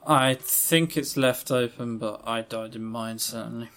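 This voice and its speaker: A man's voice: mid-range voice, unhurried, a bit bright, soft background music. A man co-hosting a podcast in casual, unscripted chat, with wry, self-deprecating humour. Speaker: podcast co-host.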